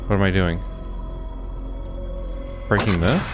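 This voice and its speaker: Animated man giving casual gaming commentary. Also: sits low, takes it slowly, over loud music. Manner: joking, chatty, muttering asides. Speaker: gaming video host.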